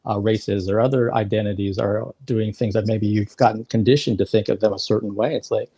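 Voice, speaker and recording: A man's voice: lowish voice, steady tone, fairly quick, mellow, breathy edge. A man hosting an interview, asking long rambling questions with hedges and fillers. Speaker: interview host. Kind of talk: casual interview chat.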